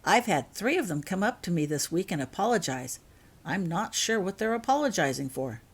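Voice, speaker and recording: A woman's voice: lowish voice, sing-song, normal speed, breathy edge, full character voice. A woman voicing a friendly, curious schoolboy in a storybook reading. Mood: puzzled.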